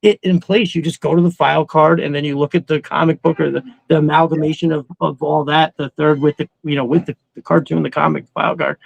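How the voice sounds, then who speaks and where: A man's voice: on the high side, fairly even intonation, quick pace, gravelly, hardly any pauses, no room sound, rough recording. A man chatting casually and emphatically as a podcast co-host, swearing freely and rambling through his opinions. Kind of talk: casual opinionated fan chat.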